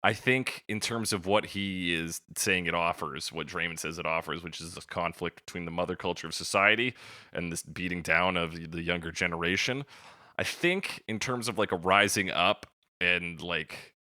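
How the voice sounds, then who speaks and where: A man with a low but bright, forceful voice, recorded dry and close. He talks casually as a podcast co-host, with slang and some swearing, giving opinions and probing with questions. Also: moderate pace, dry recording.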